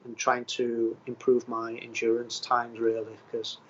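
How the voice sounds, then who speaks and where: A man from England, a professional footballer, answering interview questions in a plain, steady way with frequent ums. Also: medium pitch, unhurried, husky, highs cut off. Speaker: footballer guest.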